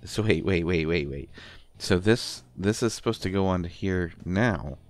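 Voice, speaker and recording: A man playing an affectionate, bashful partner in an intimate roleplay audio, talking haltingly with stammers and gentle teasing. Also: deep voice, faint music behind, lightly acted. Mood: playful. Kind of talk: intimate roleplay audio acting, one side of a conversation.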